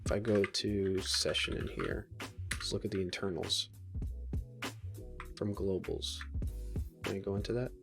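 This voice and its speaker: A man's voice: deep voice, fairly even intonation, a little slow, gravelly, long pauses, music in the background. A man streaming live code who thinks aloud in a casual way. He talks to viewers between tasks and often trails off and restarts his sentences. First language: English. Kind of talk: live coding stream chat, reading viewer comments aloud.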